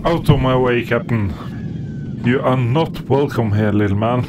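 A man's voice: mid-range voice, unhurried, close to an everyday voice. A man playing a big, proud, belligerent Viking in a sci-fi audio drama, blunt and blustering. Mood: belligerent, taunting. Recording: noisy spaceship ambience behind voice.

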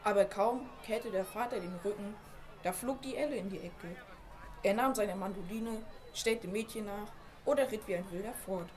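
A woman playing a loyal, long-serving male shop clerk giving measured testimony in an amateur radio play. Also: mid-range voice, darkish tone, close to an everyday voice. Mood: matter-of-fact.

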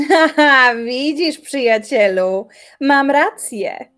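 An actress playing a proud young woman philosopher in an audio drama, trading sharp, sarcastic retorts. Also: high-pitched, lively intonation, drawn-out words, faint music behind, full character voice. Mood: scornful. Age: young adult. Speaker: voice actor.

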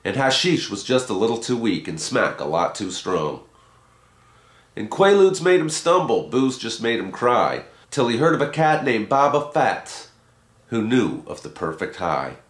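A man's voice: medium pitch, big pitch swings, measured pace. A man giving a dramatic recitation of a rhyming story poem as its reader. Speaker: poetry reader. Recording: roomy, echoing home recording.

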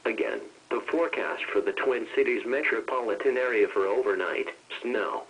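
A male weather radio announcer with a medium-pitched, slightly husky voice. He reads a scripted bulletin in measured, impersonal phrases that sound synthesized. The sound is that of a weather radio broadcast. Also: fairly bright tone, low-quality recording.

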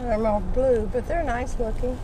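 A woman's voice: fairly high pitch, normal speed. An American woman in her seventies chatting casually as a passenger, remarking on the sights with dry humour. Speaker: travel vlogger, passenger. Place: inside a moving car. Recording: steady car and road noise.

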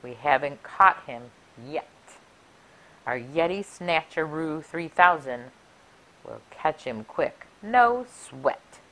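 A middle-aged woman reading a rhyming picture book aloud for children in an expressive, deliberate, sing-song way. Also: low-pitched, poor audio. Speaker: library story time reader. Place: small furnished room indoors. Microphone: clip-on lapel mic.

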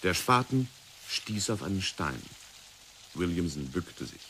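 A man narrating a radio play in a measured, dramatic way. His voice sits very low, and a faint hiss lies under the old recording. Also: sing-song, pushed and bright.

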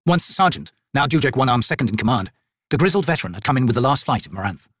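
A man's voice: mid-range voice, sing-song, quick pace, a bit bright, husky, no room sound, clean studio sound. A man, an actor, reading fiction aloud as dramatic scripted narration.

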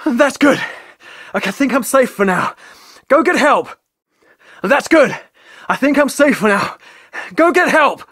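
A man's voice: extremely high pitch, sing-song, a little slow, long pauses, a bit bright, slightly rough, dry recording, clean studio sound, heavily acted. A man with a British accent voicing a sarcastic, cash-strapped young tour guide in a video game. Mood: panicked. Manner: sarcastic, joking. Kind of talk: video game character voice lines.

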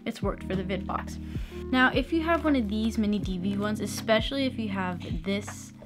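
A woman's voice: medium pitch, normal speed. A young American woman explaining things in a casual, chatty vlog style, recorded indoors in a small room. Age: young adult. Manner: chatty, informal. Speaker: video tutorial presenter. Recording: music playing behind the voice. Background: plucked guitar music.

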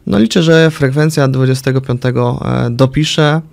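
A young man answering questions as a guest in a small radio studio, close on a desk microphone. His voice is gravelly and monotone and sits at a middle pitch. He hesitates often, stopping and restarting his sentences. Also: moderate pace. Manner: hesitant, with many fillers and restarts. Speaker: political candidate, guest. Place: small radio studio with orange acoustic panels. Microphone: studio mic on a desk arm.

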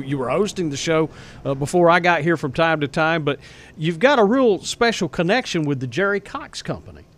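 Male talk show host, casual interview, short prompts, desk mic, slightly higher pitch. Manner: brief encouraging interjections. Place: small radio studio. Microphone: desk broadcast mic.

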